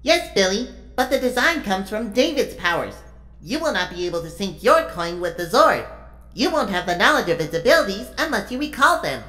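A woman's voice: medium pitch, very animated, fairly quick, a bit bright, soft background music, low-quality recording. A woman playing a level-headed, knowledgeable hero in an audio drama, with careful, formal speech. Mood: cautioning.

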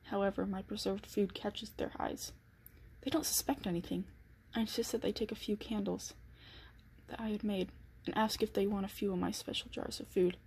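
A woman playing an anxious, secretive killer in a dramatic first-person period monologue, with a husky voice. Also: mid-range voice, fairly even intonation, normal speed, darkish tone. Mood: guarded. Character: anxious, secretive 1912 killer snowed in at home.

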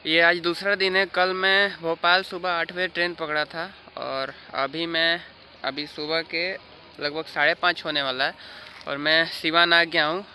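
A man's voice: on the high side, little pitch movement, measured pace, sharp tone, a little hiss or hum. A young man chatting casually to viewers in a travel vlog, filming himself with a phone at arm's length on station platforms. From Bihar, India. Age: young adult. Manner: chatty, addresses viewers directly. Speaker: travel vlogger. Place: train stations and platforms at night, outdoors. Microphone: phone held at arm's length.

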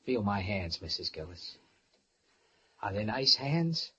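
A man's voice: lowish voice, sing-song, slow, sharp tone, highs cut off, heavily acted. An actor playing a paranoid, menacing handyman in an old radio thriller, with a controlled, threatening manner. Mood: controlling. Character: menacing, paranoid handyman holding a woman captive. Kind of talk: 1940s-50s radio thriller acting. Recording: noisy old-time radio recording.

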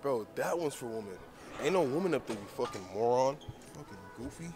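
A man's voice: medium pitch, expressive, a little fast. A young man vlogging a workout with friends in a large indoor gym, joking and trash-talking between sets. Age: young adult. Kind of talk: casual banter at the gym. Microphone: handheld camera nearby. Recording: gym music behind the voice. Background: music playing.

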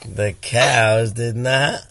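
A man reading a children's story aloud to a small child in a playful, expressive manner. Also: medium pitch, very slow, vocal fry, very noisy. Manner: playful, acts out animal sounds and emphatic words. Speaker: storybook reader.

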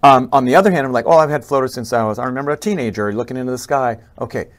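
A man's voice: medium pitch, rapid-fire. Conversational middle-aged man explaining. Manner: conversational, many fillers and restarts. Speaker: eye doctor. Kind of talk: explaining a slide lecture. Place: indoor office, webcam inset over slides.

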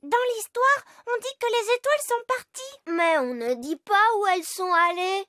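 A woman voicing a curious, eager little fairy child in an animated children's cartoon. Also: very high-pitched, normal speed, dry recording, studio quality. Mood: eager, questioning.

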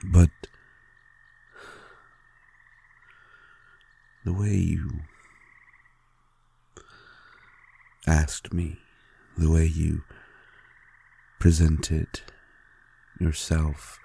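A man playing a tender, adoring vampire lover in an intimate audio roleplay, gently teasing in a hushed, halting, gravelly voice. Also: flat delivery, exaggerated voice. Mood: fond, gently teasing. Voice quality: very deep, gravelly and husky. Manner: slow, hushed, halting with pauses.

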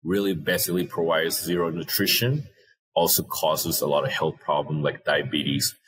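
A man in his thirties from Thailand with a Thai accent, answering interview questions thoughtfully and haltingly. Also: rumbling low, steady tone, fairly bright tone, slightly rough, rough recording. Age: 30s. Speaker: interview guest, social entrepreneur. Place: indoor room, on a video call. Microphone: earphone headset.

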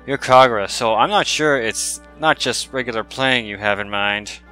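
A man's voice: mid-range voice, moderate pace, pushed and bright, clear, resonant tone, faint music behind, close to an everyday voice. A man voicing a small, nervous young fox demon boy, reading video game dialogue in a wary, frightened way.

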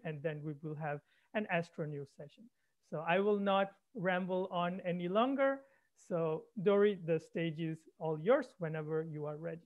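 A man hosting an online science event, introducing a guest speaker in a warm, enthusiastic but slightly halting way, on a headset from home. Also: high-pitched. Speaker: event host. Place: home room. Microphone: headset on a video call. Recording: dull lo-fi call audio.

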